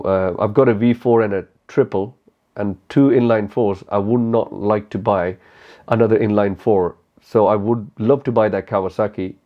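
A man in his forties with an Indian English accent, speaking candidly to camera in a casual question-and-answer video, halting with many fillers. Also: on the low side. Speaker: motorcycle YouTuber. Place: small cozy room indoors. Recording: slightly dull lo-fi audio.

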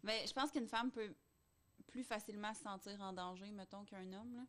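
A woman's voice: mid-range voice, fairly even intonation, moderate pace. A young woman with a Quebec French accent, talking in a tentative, reflective way in a casual group discussion on a studio mic. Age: young adult. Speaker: podcast panelist. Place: small podcast studio. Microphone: close studio microphone on boom arm.

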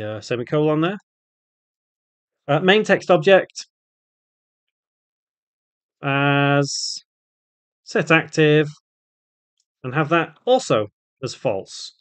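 Man presenting tutorial, slightly higher-pitched voice. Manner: instructional, thinking aloud. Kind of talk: unscripted step-by-step software tutorial voice-over.

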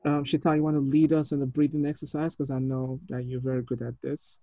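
Man, thirties, mid pitch, halting instructions, informal meeting, muffled video call sound. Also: level tone, warm tone, no echo. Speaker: short film director leading a table read. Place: indoor room, on a video call.

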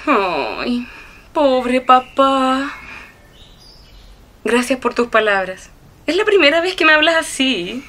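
An actress playing a cool, frivolous, cynical young daughter in a radio drama. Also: female voice, high voice, big pitch swings, takes it slowly, long pauses, bright, forceful tone, exaggerated voice. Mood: bored, condescending.

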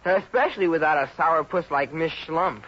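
An actor playing an eager high school boy in a 1950s radio comedy, youthful, polite but cheeky. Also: normal speed, breathy edge. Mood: cheeky, earnest. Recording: dull, noisy 1950 radio recording.

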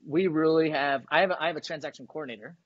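A man in his thirties from San Diego, a team leader who explains his business in a brisk, matter-of-fact way over a video call from his dining room. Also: fairly high pitch, muffled recording. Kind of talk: casual video-call interview. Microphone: earbuds on video call.

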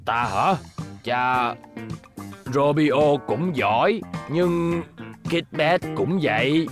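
A man's voice: a little high, drawn-out words. An elderly male schoolteacher in a cartoon dub. He is evasive and comically noncommittal, hedging as he goes, with music playing behind him. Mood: hedging, placating. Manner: hesitant, hedging.

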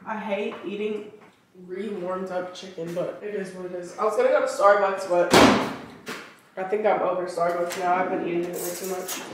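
A young woman in her twenties chatting casually to camera for her vlog, her voice a little raspy from a lingering cold, rambling with lots of fillers as she eats. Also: fairly low pitch, steady tone, normal speed, very noisy. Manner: chatty, filler-heavy, talks while eating. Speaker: vlogger. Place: home kitchen and walk-in closet. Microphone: camera set on counter at arm's length. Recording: echoey room, somewhat far from mic.

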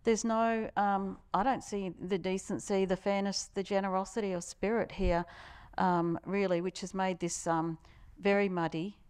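An older woman with an Australian accent speaks on a stage panel. She is earnest and halting, pausing often with fillers as she searches for words. Her voice sits at a middle pitch. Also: fairly even intonation, darkish tone, clean tone. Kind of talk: panel discussion.

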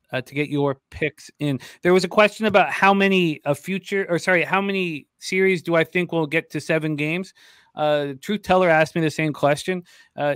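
A man's voice: a little high, a bit bright, dry recording. A man in his forties hosting a casual live sports betting show, speaking into a close desk microphone. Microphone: large desk microphone up close.

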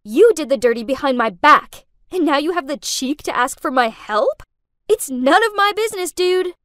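A woman's voice: sits high, big pitch swings, moderate pace, no echo, studio quality. A woman voicing a hot-tempered, jealous young woman in a dramatic story, melodramatic and emphatic. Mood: indignant fury.

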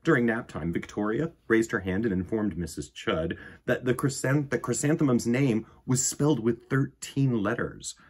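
A man in his forties narrating a children's picture book theatrically, with dramatic pauses and emphasis. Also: medium pitch, breathy edge. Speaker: storyteller reading a picture book. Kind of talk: animated children's storybook narration. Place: small indoor room with bookshelves.

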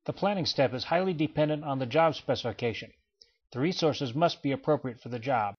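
A man's voice: mid-range voice, breathy edge. Man narrating a slideshow, dull lo-fi sound. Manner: measured, even explanatory delivery. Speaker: presenter of a training slideshow. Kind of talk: scripted voice-over narration. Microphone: voice-over, not recorded in pictured place. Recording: dull lo-fi voice-over.

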